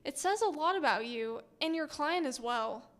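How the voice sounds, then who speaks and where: A woman's voice: sits high, lively intonation, normal speed. A woman voicing a confident adult woman lawyer who mentors a rookie, in a game voice-over. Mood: encouraging.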